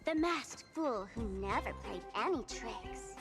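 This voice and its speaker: A woman's voice: on the high side, animated, a little slow, fairly bright tone. A woman voicing a theatrical, mischievous young masked trickster in an anime-style video game, playful and taunting. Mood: grandiose. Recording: game music clearly behind voice. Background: video game music.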